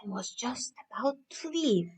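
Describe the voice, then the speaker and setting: A woman presenting stories and crafts to young children in an animated, encouraging way, often asking them questions. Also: fairly high pitch, measured pace, breathy edge. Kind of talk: interactive children's storytelling and instruction.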